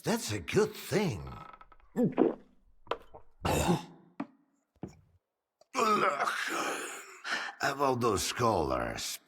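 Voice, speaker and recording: An actor playing a boozy, sardonic older scientist in a video game, theatrical and philosophising, with a husky, gravelly voice. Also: male voice, mid-range voice, fairly bright tone, big-room sound, slight background noise, exaggerated voice. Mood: teasing, dismissive. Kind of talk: video game cutscene acting.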